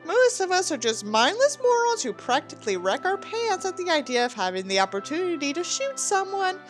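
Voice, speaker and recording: Female reader, theatrical and sarcastic, very animated pitch swings, mocking asides, music underneath. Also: a little fast. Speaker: host reading a book aloud with asides. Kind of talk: sarcastic dramatic reading with commentary.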